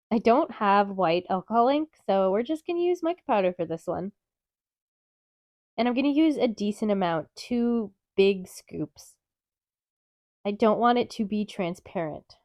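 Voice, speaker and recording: A woman with a stuffy, nasal voice from a cold, calmly explaining steps in a craft tutorial. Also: medium pitch, dry recording, muffled recording. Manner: calm, methodical, chatty explaining. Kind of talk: step-by-step craft tutorial narration.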